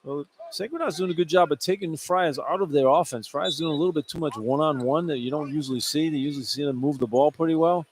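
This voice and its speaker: Male color analyst, fairly high voice, conversational, opinionated, live basketball radio, noisy arena background. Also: fast, hardly any pauses. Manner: colloquial, opinionated. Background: clear arena noise.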